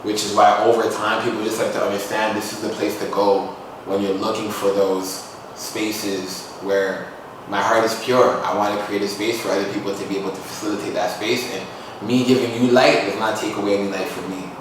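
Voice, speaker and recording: A man's voice: lowish voice, little pitch movement, fairly quick, noisy background. A young man from Toronto, a musician and poet, talking earnestly and casually about his life as a podcast guest. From Toronto, Canada. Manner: earnest, reflective, street-casual phrasing. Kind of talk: casual interview talk. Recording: echoey room, voice far from mic.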